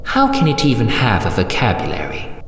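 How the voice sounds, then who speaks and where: A man's voice: lowish voice, wildly swooping pitch, moderate pace, soft background music, pitched above the performer's own voice. A man voicing a cold, clinical female cat nurse, an imperious matron, in a fan-made audio drama. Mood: astonished. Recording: echoey hall reverb, faint noise.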